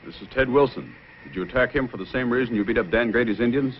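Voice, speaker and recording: An actor playing a firm, fair, heroic Mountie sergeant in an old-time radio adventure, speaking with decisive authority. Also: male voice, medium pitch, quick pace, slight background noise, natural voice. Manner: commanding, decisive. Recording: muffled vintage radio recording.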